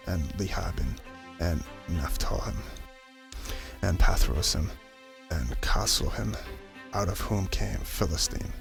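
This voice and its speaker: A man narrating scripture in an ASMR-style Bible audio drama, with a creaky voice and a halting way with names. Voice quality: low, creaky voice. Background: music.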